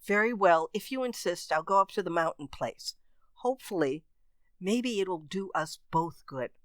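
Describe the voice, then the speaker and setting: A woman's voice: on the low side, expressive, normal speed, a bit bright, dry recording, clean studio sound. A woman playing a concerned, proper wife in an amateur radio mystery play.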